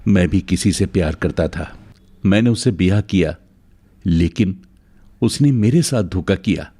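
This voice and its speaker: A man voicing a low-pitched, menacing werewolf man. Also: expressive, unhurried, dark tone, husky, exaggerated voice. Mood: bitter. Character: bitter, menacing cursed werewolf man, betrayed in love. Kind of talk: dramatised cartoon fairy tale.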